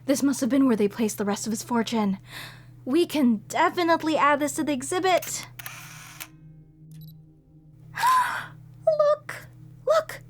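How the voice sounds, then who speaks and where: A high, lilting young curator, sweet and easily amazed, as a woman plays her. Her unhurried voice swoops up and down in an audio drama, over faint music. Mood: excited. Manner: wide-eyed, excitable, gasping in wonder. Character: sweet, eager, airheaded woman museum curator.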